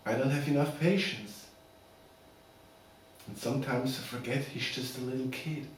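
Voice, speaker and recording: Weary divorced dad played by a male actor, earnest, halting and quiet, recorded in a very echoey room with some noise. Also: medium pitch, natural voice. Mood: tender, sad. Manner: halting, subdued, plain-spoken. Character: weary, earnest divorced father pleading for custody. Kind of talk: dramatic audition monologue. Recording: echoey room, distant mic, background noise.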